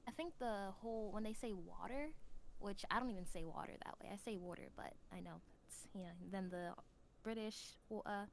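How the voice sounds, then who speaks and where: A woman's voice: medium pitch, fairly quick, vocal fry, a little hiss or hum. A woman voice actor as a podcast guest, chatting casually, bubbly and enthusiastic with frequent giggles. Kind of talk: casual chat.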